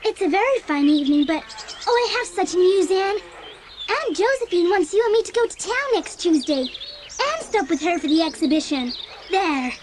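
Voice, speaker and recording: Excitable young girl, very high voice, sweet, noisy birdsong backdrop, storybook drama. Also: normal speed. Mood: excited. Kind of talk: dramatised audiobook acting.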